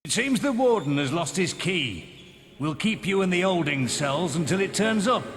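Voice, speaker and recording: An officious game prison guard. His voice is high for a man and creaky, ringing in long reverb with faint music behind. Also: expressive, moderate pace, a bit bright. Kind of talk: video game character acting.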